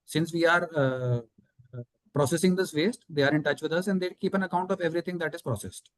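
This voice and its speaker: Male company executive, Indian accent, quick pace and dry close sound, methodically explaining business figures to investors. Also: a little high, dry recording. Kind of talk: explanatory answers on an earnings call.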